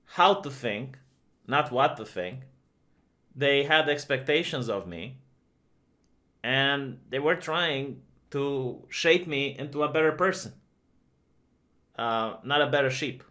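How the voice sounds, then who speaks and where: Guy ranting on his channel, bright sharp voice, lots of uhs and ums. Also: mid-range voice, lively intonation, slow, long pauses. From Romania. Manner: conversational and opinionated, many fillers. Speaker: video commentator. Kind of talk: opinionated commentary vlog.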